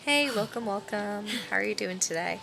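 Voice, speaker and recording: A woman's voice: mid-range voice, animated, moderate pace, gravelly, background noise. A young woman streaming a game, chatting in a bubbly way and thinking aloud. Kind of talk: casual chat while gaming. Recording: voice over loud game music.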